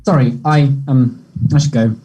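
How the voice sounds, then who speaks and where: Anxious young man, husky and soft-toned an actor reading. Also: mid-range voice, steady tone, natural voice. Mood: nervous. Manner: hesitant, halting delivery. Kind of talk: play table read.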